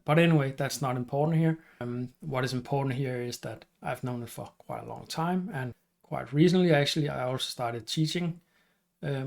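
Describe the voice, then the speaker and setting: A middle-aged man with a Danish accent, hosting his channel and explaining at an unhurried pace with some fillers and restarts. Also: medium pitch, creaky. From Denmark. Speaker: channel host and teacher. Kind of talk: casual explainer talk to camera.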